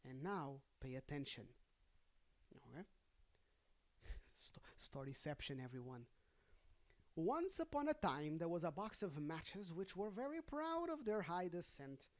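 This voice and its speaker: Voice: mid-range voice, very animated, measured pace, pauses often. A storyteller reading a fairy tale aloud, breaking off for casual, wry and chatty comments. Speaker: storybook reader and host.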